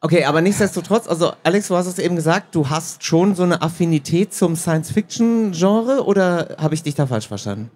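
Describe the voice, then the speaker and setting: A male host with a fairly high voice moderates a live stage talk. He speaks as a presenter into a microphone, addressing both guests and listeners. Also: lively intonation, a little fast, few pauses, a little mellow. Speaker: host, audiobook producer. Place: stage at a book fair.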